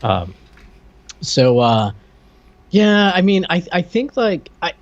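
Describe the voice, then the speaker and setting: A man's voice: high voice, sing-song, slow, lo-fi sound. A man co-hosting a podcast, chatting casually about pop culture in a rambling way, repeating words as he thinks aloud. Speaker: podcast co-host.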